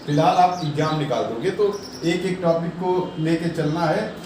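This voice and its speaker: A man's voice: a little high, normal speed. A man giving informal exam updates and advice as a coaching teacher, repeating his points in a reassuring way. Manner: repetitive, reassuring coaching talk. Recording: very echoey room, distant mic, noisy.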